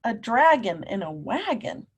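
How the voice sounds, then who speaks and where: A woman teacher reading a picture book aloud to young children, animated and emphatic, often putting questions to her listeners. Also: fairly high pitch, husky. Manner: animated, emphatic, drawn-out words, coaxing young children along.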